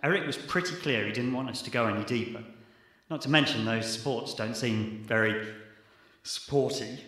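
A man's voice: medium pitch, normal speed, sharp tone, close to an everyday voice. A man acting a chatty, wry young geology researcher in a radio drama. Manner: wry, talkative. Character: chatty, skittish male geology postdoc, poetry-loving and wry. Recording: echoing, cave-like reverberant sound.

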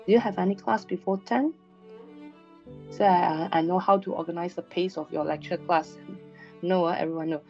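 A woman, a university lecturer giving a chatty online class briefing, her voice slightly hoarse after a sore throat, with frequent fillers and "all right" checks. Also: fairly low pitch, fairly even intonation, normal speed, pauses often, darkish tone, soft background music. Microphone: video call webcam. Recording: dull lo-fi online class recording.

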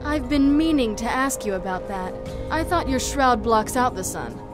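A woman's voice: fairly high pitch, expressive, normal speed, over music, natural voice. A woman playing a tough, sardonic half-vampire action heroine in a video game cutscene, wry and mocking.

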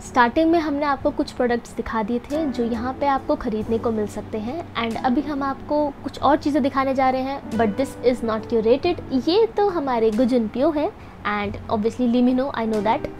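Young woman, high voice, chatty vlog voice-over, talks right to viewers, bit of music under it. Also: normal speed. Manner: chatty, upbeat, addresses viewers directly. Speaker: food and event vlogger. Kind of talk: voice-over narration mixing Hindi and English.

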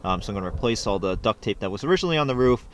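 A man's voice: on the low side, lively intonation, rapid-fire, slight background noise. A young man vlogging casually, explaining as he shows things on camera in a parking lot and inside a car. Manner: chatty, explaining as he goes. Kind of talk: casual vlog narration.

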